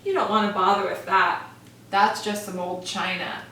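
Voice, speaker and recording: A woman's voice: mid-range voice, fairly even intonation, normal speed, bright, forceful tone, heavy reverb, distant mic, slight background noise, low-quality recording. A woman voicing a haughty, sniffy bat in a storybook reading. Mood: dismissive.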